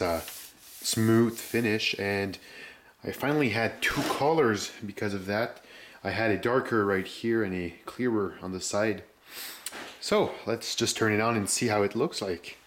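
A man giving a casual hands-on walkthrough, speaking haltingly as he searches for words, recorded indoors on a handheld camera. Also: on the low side, fairly bright tone, slight background noise. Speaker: hobbyist restorer presenting a rebuilt radio. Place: indoors, room with concrete floor. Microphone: handheld camera's microphone.

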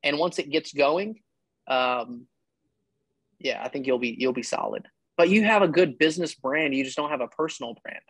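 A man's voice: a little high, moderate pace, fairly bright tone, slightly rough, dry recording, poor audio. Blunt, emphatic man on a dry call. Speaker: business coach giving advice. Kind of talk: casual one-on-one advice call. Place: indoor room, video call. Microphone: video call.

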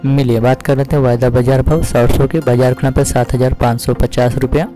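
A man's voice: mid-range voice, level tone, brisk. A man hosting a market update, reading out prices as scripted narration in a formulaic, repetitive recital. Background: music.

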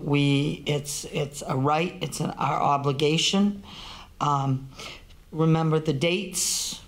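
A woman candidate giving a halting, filler-laden closing statement at a forum, seated in a small meeting room. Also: sits low. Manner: halting, slow, with many fillers. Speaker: political candidate.

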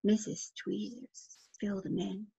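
A middle-aged woman reading a children's picture book aloud in an expressive, deliberate storytelling manner. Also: medium pitch, gravelly, slightly rough, no echo. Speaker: librarian storytime reader. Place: small indoor room with bookshelves. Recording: dull, lo-fi webcam audio.

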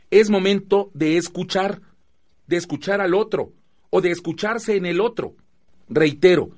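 Guy acting as earnest mexican group leader in a radio drama, firm and preachy, highish male voice. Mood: firm, stern.

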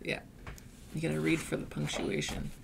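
A woman reading a picture book aloud to children in an expressive, playful storytelling manner. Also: sits low, normal speed, creaky, a little hiss or hum. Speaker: adult reader leading a children's read-aloud.

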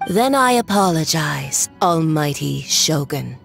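Haughty game villainess, elegant and condescending, unhurried taunts over background music. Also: female voice, low voice, lively intonation, creaky, full character voice. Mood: mocking. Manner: condescending, theatrical, drawn-out taunting delivery. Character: haughty, mocking villainess, elegant adult woman diplomat. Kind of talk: video game voice acting.

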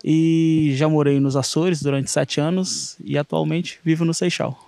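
Brazilian Portuguese man, casual halting interview, slightly high voice, dry sound. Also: steady tone, gravelly, warm tone, no echo. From Brazil, Minas Gerais. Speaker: interviewee, investor. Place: outdoors in a garden.

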